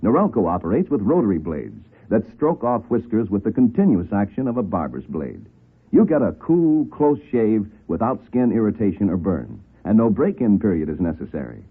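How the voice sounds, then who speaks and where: Over a tinny old radio line comes an American male announcer with a husky edge. He delivers scripted ad copy in a polished, emphatic 1950s style, with a very animated pitch. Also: moderate pace. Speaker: commercial announcer. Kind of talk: 1950s radio advertising read.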